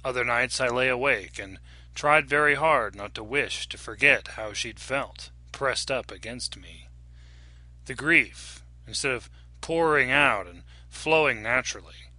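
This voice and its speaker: Male audiobook narrator: measured, solemn, dramatic, crisp sharp tone, dry recording. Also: mid-range voice, animated, no room sound. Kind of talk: dramatic scripted fiction narration.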